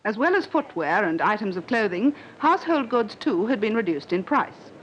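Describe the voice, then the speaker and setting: A woman's voice: mid-range voice, sing-song, normal speed. A woman reading scripted narration for a 1950s newsreel. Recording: dull 1950s newsreel with faint noise.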